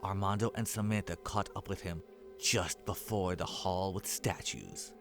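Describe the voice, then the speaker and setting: A man with a fairly low voice reads a scripted horror story as narrator. Music plays behind him. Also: steady tone, measured pace.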